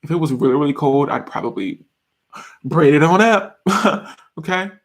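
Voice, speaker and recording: A young man in his twenties talks casually on a live stream from a small bedroom, answering viewers' comments. His voice sits a little high for a man, and he laughs often, restarts sentences and piles on fillers as he chats. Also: lively intonation, normal speed. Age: 20s. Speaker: YouTuber reading live chat.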